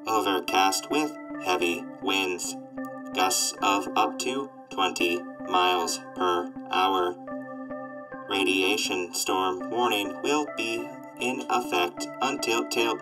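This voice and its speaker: A man voicing a gloomy, glitch-prone robot weather announcer with a flat, mechanical, formal delivery in a radio drama. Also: deep voice, takes it slowly, music in the background, low-quality recording. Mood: monotone, glitching.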